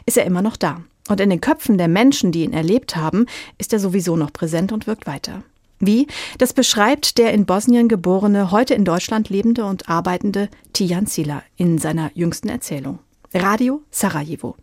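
A woman presenting a radio arts program, introducing the next review in a clear, scripted way. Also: medium pitch, a little mellow, no room sound, clean studio sound.

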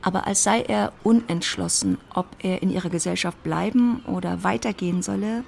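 Creaky-edged woman narrating a literary drama. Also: lowish voice, moderate pace, darkish tone, a little hiss or hum.

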